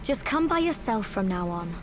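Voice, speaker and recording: A woman's voice: mid-range voice, big pitch swings, normal speed, background noise, close to an everyday voice. An actress voicing a spirited, inquisitive young woman in a video game cutscene, speaking in a direct way. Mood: teasing. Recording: music behind the voice. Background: music.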